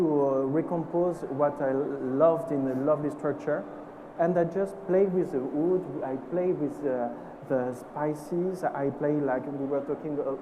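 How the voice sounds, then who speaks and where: A man with a French accent speaking on a conference panel, halting and searching for his words in English. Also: on the high side, background noise. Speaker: perfumer. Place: conference stage.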